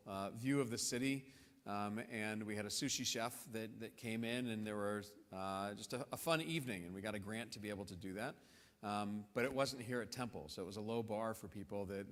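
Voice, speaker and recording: A man, a rabbi, explaining things to the congregation in a relaxed conversational way, with fillers and restarts. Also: fairly low pitch. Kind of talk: casual panel conversation. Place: large synagogue hall. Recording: long hall reverb.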